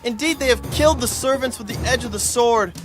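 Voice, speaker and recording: High, panicked male messenger. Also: a little fast, music in the background. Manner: urgent, frantic. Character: panicked male servant messenger fleeing a raid.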